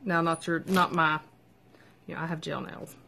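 A woman's voice: low-pitched, fairly even intonation, normal speed, vocal fry, bright, forceful tone, slight background noise. A woman casually reviewing a product at home, chatty and upbeat, rambling with fillers and asides. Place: at home with her child nearby.

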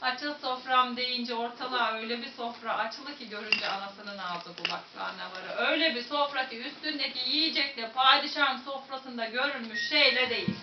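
A woman's voice: a little high, normal speed, few pauses. A woman with a Central Anatolian accent telling a traditional folk tale to a live audience in a rhythmic, rhymed patter, talking directly to her listeners. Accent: Central Anatolian Turkish. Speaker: folk storyteller. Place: museum site with onlookers and photographers. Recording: distant, echoey, dull recording with faint noise.

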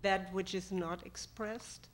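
A woman in the audience asking a question and making comments from the floor, with an uneven, deliberate pace. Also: mid-range voice, fairly even intonation.

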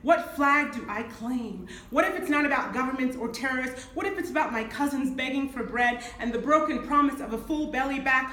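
A woman's voice: sits high, fairly bright tone. A woman in her thirties from Nigeria performing a spoken word poem at a lectern, rhythmic and emphatic, recorded from a camera across a small room. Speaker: poet. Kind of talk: spoken word poetry reading. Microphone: far camera. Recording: echoey room.

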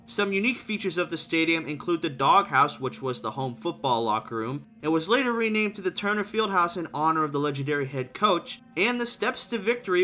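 Male video narrator, slightly high voice with a bright edge, scripted explainer delivery, falling sentence endings. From Tennessee or southwest Virginia region. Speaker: host and narrator. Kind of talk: scripted documentary-style voice-over narration.

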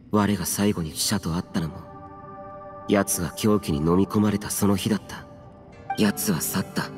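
A man's voice: on the low side, darkish tone, lightly acted. A man acting in a Japanese game as a stern, aloof, youthful immortal warrior who speaks tersely and solemnly in archaic words. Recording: long reverb, faint music behind.

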